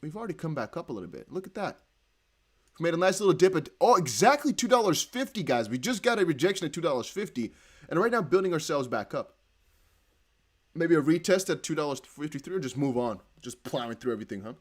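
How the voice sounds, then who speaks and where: A young man with a Dutch accent hosting a casual livestream, reading chat aloud and breaking into excited whoops. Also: a little high, quick pace. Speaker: crypto livestream host.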